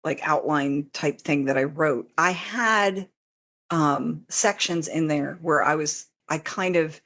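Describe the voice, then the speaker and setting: A woman's voice: low-pitched, slow, a bit bright. A woman talking casually as an interview guest, explaining in detail in an informal, rambling way and often interrupting herself. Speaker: interview guest, fan fiction author. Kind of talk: casual, detailed explanation of own writing process.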